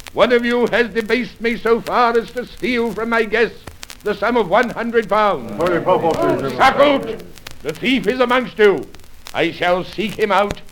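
Proud, commanding desert chieftain, old radio. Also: male voice, high voice, fairly bright tone. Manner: pompous, formal archaic diction. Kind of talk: 1940s radio drama acting. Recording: noisy old radio recording with clicks.

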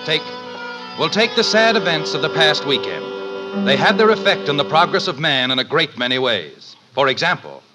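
Male American announcer, slightly high lively voice, wry vintage narration, music behind. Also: moderate pace, low-quality recording. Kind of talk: wry scripted 1950s radio narration.

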